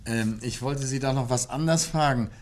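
An actor playing an urbane, genial and shrewd amateur sleuth in a 1950s German radio play, casual and probing, with thoughtful little interjections. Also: male voice, mid-range voice, normal speed, natural voice.

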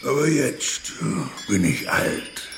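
An actor playing a weary old chieftain in a German radio drama, grave and halting, with a gravelly, breathy voice. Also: male voice, mid-range voice, big pitch swings, exaggerated voice. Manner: slow, halting, deliberate. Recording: echoey, with clear background noise.

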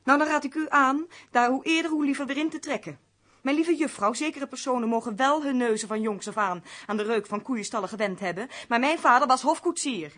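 A woman's voice: high voice, a little fast, a bit bright. A woman playing a haughty, snobbish, bossy lady's maid in a radio play, speaking with affected, biting airs. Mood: haughty, indignant.

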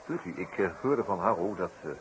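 Low-voiced, wry detective, noisy recording. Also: male voice, lively intonation, brisk. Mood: probing, ironic. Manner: hesitant, wordy, drily ironic musing. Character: shrewd, wry male detective, musing and deliberate. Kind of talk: 1960s Dutch radio drama acting. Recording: noisy old 1960s radio recording.

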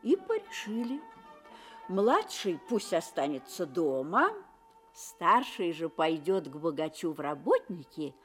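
An actress playing a kindly keeper of fairy tales, a storyteller guiding children in an inviting, theatrical, unhurried way. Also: female voice, lowish voice, sing-song, soft background music. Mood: storytelling. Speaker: storyteller and guide.

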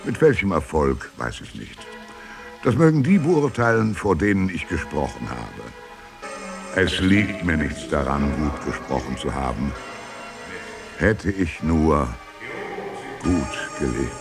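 An actor playing Petrarch, a proud and reflective medieval scholar-poet, delivering a measured, rhetorical radio-play monologue. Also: male voice, rumbling low, sing-song, over music, exaggerated voice. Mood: reflective, modest. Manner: measured, deliberate, rhetorical, with emphatic swells and hushed endings. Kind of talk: solemn literary radio monologue, declaimed.

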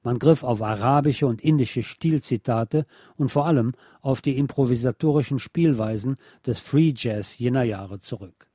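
A man reading a scripted radio documentary as narrator in a measured, literate and factual manner. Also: mid-range voice, warm tone.

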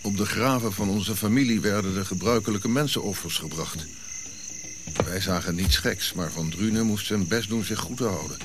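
An actor playing a reflective Ashanti prince who recounts his childhood in measured radio drama narration. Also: fairly low pitch, noisy background, close to an everyday voice.

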